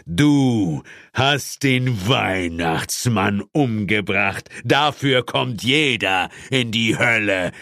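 A man's voice: fairly low pitch, expressive, sharp tone, no echo, studio quality, exaggerated voice. A man voicing a sneering, theatrical devil who gloats as lord of hell in a horror-comedy audiobook. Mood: thundering. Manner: sneering, theatrical, drawn-out words.

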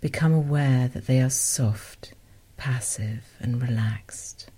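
Very low, breathy woman guiding meditation. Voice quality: soft, breathy, often near whisper. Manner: gentle, unhurried, softly spoken with short phrases. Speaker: meditation guide and podcast host. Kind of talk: guided meditation, soothing instruction.

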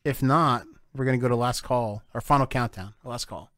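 A man's voice: medium pitch, fairly quick, a bit bright, no echo, clean studio sound. A man hosting a casual podcast who laughs often and swears freely. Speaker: podcast host. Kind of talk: casual chat.

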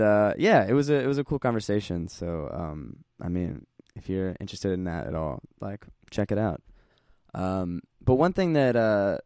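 A man's voice: lowish voice, a little mellow, dry recording, clean studio sound. A man in his twenties hosting a podcast, rambling and self-deprecating, with lots of fillers. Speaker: podcast host. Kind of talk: casual podcast chat. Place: practice room.

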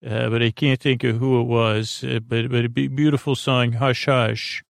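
Creaky gravelly guy doing a soothing rambly bedtime podcast, halting and kinda whimsical, really dry close sound. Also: mid-range voice, flat delivery, dry recording. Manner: meandering, halting, trails off mid-thought. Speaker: podcast host. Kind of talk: rambling bedtime monologue to the listener.

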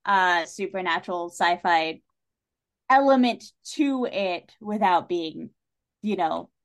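A woman's voice: lowish voice, lively intonation, takes it slowly. A woman talking casually and animatedly about books as a podcast guest, with informal, salty language. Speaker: guest on a book discussion podcast. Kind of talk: casual chat, literary discussion.

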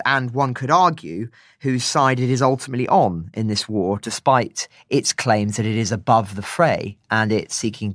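A British man presenting a scripted news summary on a podcast, speaking in a measured, articulate way with brief commentary. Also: mid-range voice, a bit bright, no echo, clean studio sound.

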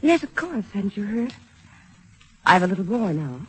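An actress playing a poised, cool former sweetheart, formal and measured, in an old-time radio drama. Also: female voice, medium pitch, very animated, a bit bright, slightly rough, heavily acted. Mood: cool, restrained. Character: poised, cool former sweetheart, now married, politely wounding.

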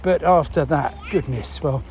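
A man explains a news story in unscripted, halting talk, thinking aloud and posing rhetorical questions. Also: fairly high pitch. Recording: clear background noise.

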